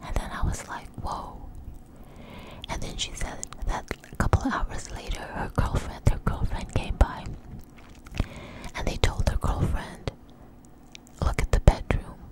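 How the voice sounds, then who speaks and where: A woman telling a story in a soft, breathy ASMR whisper, close to the mic, in a conversational, hesitant way. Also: a little slow.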